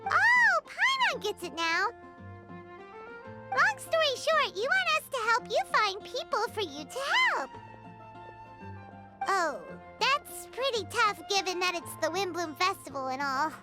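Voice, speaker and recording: A tiny fairy companion from a video game, squeaky and extremely high. The voice is childlike and excitable, leaping up and down in pitch as it exclaims and begs like a greedy little sidekick. Game music plays prominently behind it. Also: female voice, measured pace, exaggerated voice. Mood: eager, wheedling. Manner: exclamatory, refers to self in third person. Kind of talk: animated video game voice acting.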